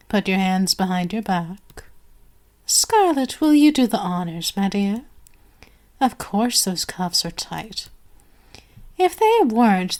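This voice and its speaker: A woman's voice: mid-range voice, darkish tone. A woman playing an imperious, sadistic vampire queen in an ASMR roleplay, formal and commanding, seductive and possessive as she savors each phrase. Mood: commanding, polite.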